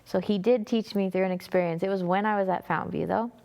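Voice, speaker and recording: A woman's voice: medium pitch, fairly even intonation, fast, gravelly, warm tone, clean tone. A young woman with a North American accent, telling a personal testimony as an interview guest in an earnest, conversational way. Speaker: guest, music ministry singer.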